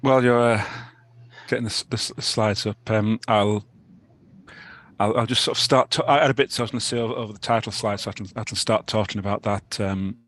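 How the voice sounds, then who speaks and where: A middle-aged British man with a cold, giving a talk over a video call, halting with many restarts. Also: on the low side, steady tone, fairly bright tone. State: has a cold, clears throat. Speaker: guest speaker. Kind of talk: online talk presentation.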